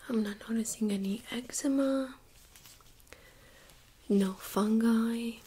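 A young woman speaking in a soft, breathy near-whisper, gently playing a medical examiner in an ASMR role-play. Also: on the high side. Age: young adult. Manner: gentle, unhurried, reassuring. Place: small carpeted exam room, indoors. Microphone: USB microphone on a stand nearby.

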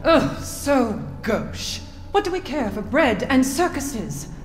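Haughty, slow-spoken aristocratic villainess. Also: female voice, high-pitched, sing-song, exaggerated voice. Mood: haughty, indignant. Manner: haughty, refined, condescending. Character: haughty aristocratic giant vampire lady, elegant and menacing mother. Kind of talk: video game cutscene acting. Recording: reverberant hall, music underneath.

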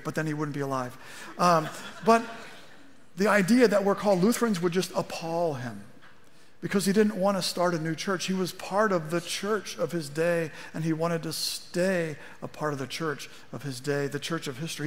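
A middle-aged male pastor preaching in a chatty, wry way, his voice a touch higher than most men's. He talks into a headset mic on a big church stage, and a long reverb trails behind his words. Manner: conversational preaching with wry asides, gesturing at a lectern. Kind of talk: sermon. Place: large church auditorium stage.

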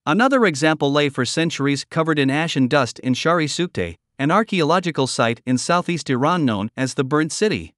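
A man reading a scripted documentary narration in an even, measured way. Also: fairly high pitch, dry recording, studio quality.

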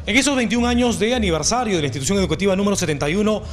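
A man with a Peruvian accent reporting from a school event with a handheld mic, narrating and asking questions. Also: high-pitched. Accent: Peruvian Spanish. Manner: brisk on-the-spot narration. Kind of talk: news reporting and interviews. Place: crowded school classroom indoors. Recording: music bed behind the voice.

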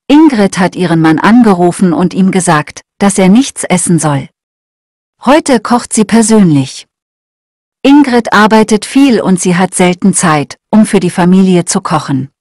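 A woman reading short learner texts aloud in a slow, clear, scripted way. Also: medium pitch, expressive, a little mellow, no echo, studio quality. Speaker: German lesson reader.

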